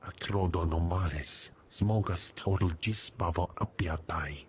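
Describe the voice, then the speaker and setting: Alien, deep voice, slow delivery, solemn, invented language, video game. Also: male voice, a little hiss or hum.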